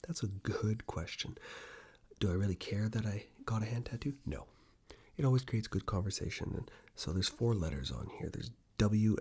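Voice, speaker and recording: Male ASMR host: low, very husky, hushed and half-whispered, chatting intimately to camera. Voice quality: hushed, breathy, often dropping into a whisper. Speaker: ASMR video creator and host. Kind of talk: ASMR, soft-spoken personal ramble to camera.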